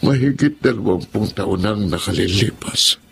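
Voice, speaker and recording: An actor playing a grieving old widowed father in a radio drama, speaking slowly and heavily with sorrow. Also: fairly low pitch, vocal fry, slight background noise. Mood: mournful. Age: older. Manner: slow, heavy-hearted musing. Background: music.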